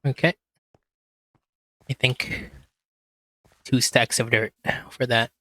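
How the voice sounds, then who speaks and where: A man with a hoarse voice, quietly talking himself through a game as he plays, in a relaxed, slow, muttering way. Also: medium pitch, no room sound. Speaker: gaming video host. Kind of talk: casual gameplay commentary.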